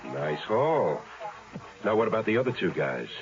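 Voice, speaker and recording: An actor playing a traffic cop casually asking about a robbery in an old radio drama. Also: male voice, on the low side, big pitch swings, normal speed. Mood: curious. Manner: casual, questioning. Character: curious male traffic cop. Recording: muffled old radio broadcast.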